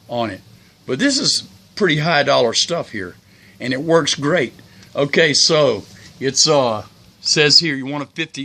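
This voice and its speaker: Older man, bright voice, folksy and rambling. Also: mid-range voice. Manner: folksy, plain-spoken, rambling. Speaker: hobbyist video host. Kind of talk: casual unscripted tips talk.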